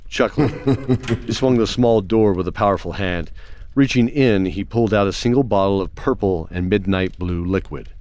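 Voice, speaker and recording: A man narrating a scripted fantasy story in a measured, descriptive storytelling manner. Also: on the low side, darkish tone, no room sound. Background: faint music.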